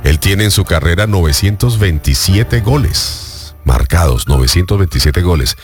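Deep-voiced, animated male news host. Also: faint music behind. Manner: expressive, animated delivery with filler pauses. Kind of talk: conversational sports news segment.

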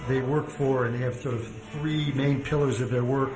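A man introducing a guest speaker at an event, halting with many fillers and restarts. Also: medium pitch, fairly even intonation. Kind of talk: formal introduction speech. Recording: echoey hall with loud music over it.

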